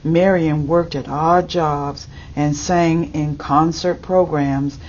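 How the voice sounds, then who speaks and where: Low-voiced woman slowly reading a storybook. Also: fairly even intonation, a little mellow. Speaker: storybook reader. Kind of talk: reading a children's picture book aloud. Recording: dull lo-fi audio with background noise.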